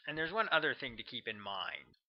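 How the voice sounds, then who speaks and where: A man voicing a brisk, offhand male government agent in an audiobook, explaining things casually. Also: medium pitch, sing-song, dull audio.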